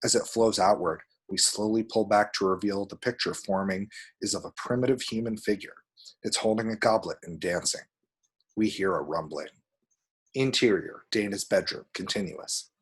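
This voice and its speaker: Man, low husky voice, screenplay narrator, vivid table-read storytelling. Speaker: reader of action descriptions.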